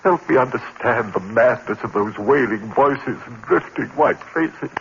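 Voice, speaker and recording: A man's voice: medium pitch, unhurried, a bit bright. An actor playing a tormented male lecturer who narrates in a brooding voice and breaks into frantic cries as he slides into madness, in 1940s radio acting style. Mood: brooding narration. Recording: dull old radio recording with faint hiss.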